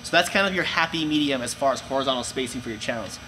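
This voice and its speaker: A young man presenting for a company, giving clear step-by-step instructions in a large indoor room. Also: medium pitch, very fast, pushed and bright, a little hiss or hum, low-quality recording. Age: young adult. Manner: clear step-by-step explaining with hand gestures. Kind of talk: instructional how-to video.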